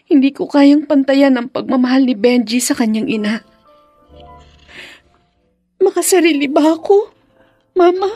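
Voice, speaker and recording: A woman's voice: high-pitched, a little slow, long pauses, mellow, no room sound, faint music behind. A woman playing a dependent, long-suffering young wife and mother in a Filipino radio drama. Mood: sad, doubting.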